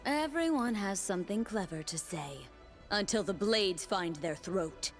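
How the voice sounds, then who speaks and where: A proud young blade-dancing warrior , played by a woman from a video game. She is poised and cutting, taunting her foes with a sharp tongue. Faint music plays behind her. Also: mid-range voice, animated, unhurried, close to an everyday voice. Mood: grim. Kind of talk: video game character voice lines.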